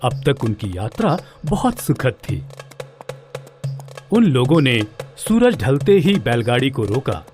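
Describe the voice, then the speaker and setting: A man narrates a children's tale at a slow pace. His medium-pitched voice rises and falls expressively, with music playing behind him. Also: very animated. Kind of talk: scripted children's story narration.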